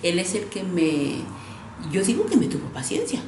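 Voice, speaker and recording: A woman from Mexico, a teacher whose first language is Otomi, recounting her schooling in a measured, hesitant way. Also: medium pitch, huge pitch swings, darkish tone, reverberant, a little hiss or hum. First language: Otomi (Hñähñu). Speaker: teacher, interviewee.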